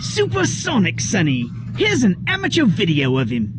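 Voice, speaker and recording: A man voicing an excitable TV football presenter interviewing a coach in an animated series, over loud music. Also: high-pitched, normal speed, background noise. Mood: upbeat. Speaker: sports interviewer. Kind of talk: animated series dub acting.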